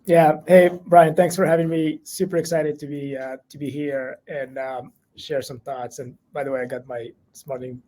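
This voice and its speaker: A man from Morocco with a slight Moroccan accent, an investor guest in a conversational interview, speaking haltingly with many restarts and fillers. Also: fairly high pitch, level tone, warm tone.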